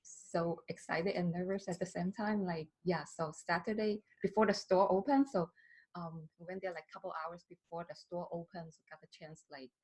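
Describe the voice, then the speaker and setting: A woman's voice: lowish voice, fairly even intonation, normal speed, darkish tone, breathy edge, rough recording. A woman in her thirties from southern China, speaking English with many fillers and restarts as a guest in a casual interview. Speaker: skincare brand founder, guest.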